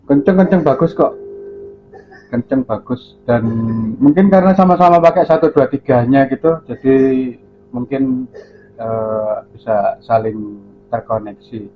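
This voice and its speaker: A man's voice: mid-range voice, measured pace, muffled recording, over music. Chatty man, calling over radio. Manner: casual, chatty, loud calls with radio jargon. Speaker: amateur radio operator. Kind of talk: radio chat over a repeater.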